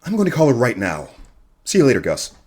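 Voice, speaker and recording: A man playing a modest, earnest, smitten radio host in a radio comedy-drama, with a hesitant, understated, halting delivery. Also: medium pitch, huge pitch swings, a little mellow, husky. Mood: resolved.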